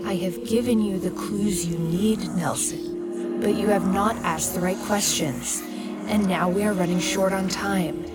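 A woman playing an urgent, cryptic apparition in an audio drama, giving warnings in a solemn, archaic, breathy half-whisper. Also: mid-range voice, fairly even intonation, a little slow, natural voice. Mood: ominous warning. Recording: loud music under the voice.

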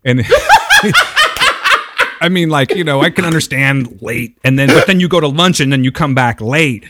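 A man's voice: medium pitch, normal speed, very noisy. A man co-hosting a podcast in casual banter, with a playful, teasing, joking way of talking. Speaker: podcast co-host.

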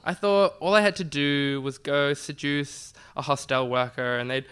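A man's voice: on the high side, steady tone, normal speed, a bit bright, clear, resonant tone. A man performing a scripted comic personal story, wry and self-deprecating.

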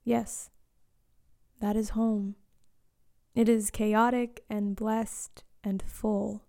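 Late-twenties woman, mellow and lightly gravelly, reading a reflective first-person story with quiet, lyrical pacing. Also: mid-range voice, little pitch movement. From born in Eswatini to Zambian parents, raised in South Africa, Australia and Canada. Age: late 20s. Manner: slow, reflective, lyrical reading with soft hushed lines. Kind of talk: scripted personal narration.

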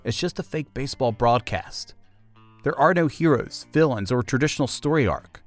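A man's voice: medium pitch, animated, moderate pace, faint music behind. A man hosting a podcast, delivering scripted documentary-style narration.

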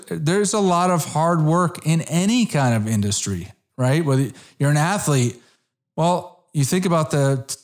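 A man's voice: mid-range voice, darkish tone. A man in his fifties hosting an interview, talking conversationally with restarts and frequent "you know" and "right?". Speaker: interview host. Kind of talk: casual interview.

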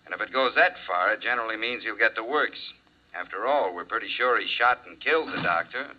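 An actor playing a blunt, matter-of-fact police officer in a 1950s radio drama. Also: male voice, on the low side, quick pace, close to an everyday voice. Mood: brusque. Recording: dull lo-fi old radio broadcast.

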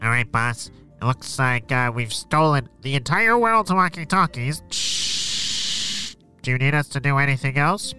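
Guy doing a ninja minion radioing the boss, super sharp hissy walkie-talkie sound, slow, dutiful, kids story. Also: mid-range voice, lively intonation, faint music behind. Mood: dutiful, sneaky. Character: purple ninja henchman reporting to his villain boss. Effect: walkie-talkie radio with hiss.

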